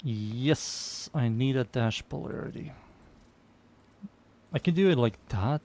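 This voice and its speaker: A man's voice: mid-range voice, takes it slowly, darkish tone. A young man with a Serbian accent, streaming a game and chatting casually, muttering to himself and reading out viewer messages. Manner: mutters to himself, reads chat aloud, easygoing. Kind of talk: casual live-stream chatter while playing.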